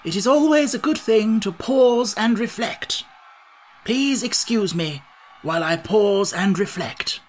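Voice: mid-range voice, lively intonation, moderate pace, pitched above the performer's own voice, natural voice. A storybook reader voicing a genial, self-important scarecrow king who speaks in a pompous, declamatory way. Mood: pompous, reassuring.

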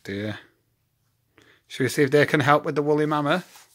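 A man's voice: fairly high pitch, normal speed, a bit bright. A man talking casually to a young child in a bedroom, asking prompting questions and encouraging the answers. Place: small bedroom, indoors.

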